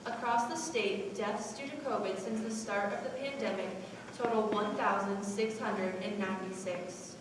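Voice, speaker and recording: A woman's voice: mid-range voice, steady tone, normal speed, close to an everyday voice. A woman playing a formal newsreader in a school stage play. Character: formal female newsreader giving pandemic figures. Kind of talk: news announcement within a stage play. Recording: echoey hall from far off, noisy.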